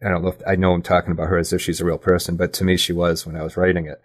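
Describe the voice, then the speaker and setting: A man's voice: deep voice, little pitch movement, very fast, husky. A man speaking as an interview guest, thoughtful and self-critical, choosing his words hesitantly in casual talk. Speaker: podcast guest, audio drama writer.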